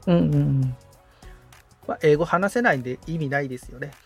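A man's voice: fairly high pitch, fairly quick, slightly rough, soft background music. A man assisting on a podcast, politely following along and describing each step aloud. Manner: polite, attentive, agreeable.

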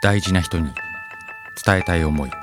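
A man's voice: sits low, normal speed, close to an everyday voice. A man playing a solemn narrator in a dramatic scripted trailer for an audio drama. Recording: prominent mallet music behind voice. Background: music with mallet percussion.

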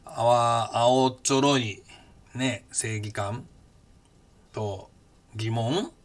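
A man in his fifties, hosting a podcast and recounting his past in a casual, blunt and animated way. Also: medium pitch, extremely slow. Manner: animated, blunt storytelling with rough casual speech. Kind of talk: casual spoken memoir.

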